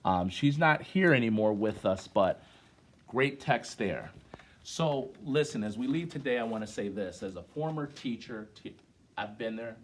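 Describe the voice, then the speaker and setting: A middle-aged man with an American accent hosts story time for children. His voice sits at an average male pitch. He speaks in a conversational, teacherly way, addressing young listeners directly as he shares a poem. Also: expressive, a little slow. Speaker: guest storyteller, former teacher.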